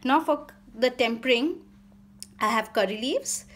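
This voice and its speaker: A woman's voice: a little high, expressive, measured pace. A woman with an Indian accent giving casual step-by-step recipe narration as a cooking channel host.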